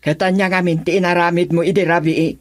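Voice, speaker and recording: An actress in an Ilocano radio drama playing a concerned, questioning mother and wife, with a Filipino accent and a husky voice. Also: lowish voice, flat delivery, fast, no room sound, clean studio sound, close to an everyday voice. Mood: stern, motherly.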